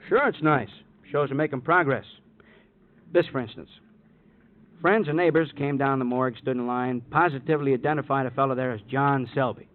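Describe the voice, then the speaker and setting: An actor playing a chatty, good-natured married police partner in a 1950s radio crime drama, folksy and rambling. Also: male voice, mid-range voice, brisk, lightly acted. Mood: jovial. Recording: tinny old radio with faint noise.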